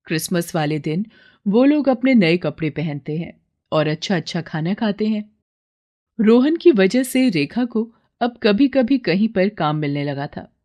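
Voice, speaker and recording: A woman's voice: fairly low pitch, expressive, measured pace, warm tone. A woman storyteller reading scripted narration for a children's moral story.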